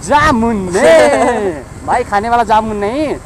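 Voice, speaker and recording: A man's voice: sits high, lively intonation, takes it slowly. A young man casually chatting and joking while filming his motorbike trip outdoors. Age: young adult. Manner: joking, banters with his friend. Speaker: vlogger. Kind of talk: casual motovlog chat. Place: outdoors on a hill road. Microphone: helmet-mounted camera. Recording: outdoor wind and road noise.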